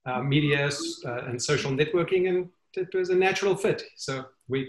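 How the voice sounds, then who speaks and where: Man, slightly high voice, earnest storyteller, roomy call audio. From South Africa. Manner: earnest, halting with many fillers. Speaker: guest. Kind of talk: storytelling in an online interview. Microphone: video call.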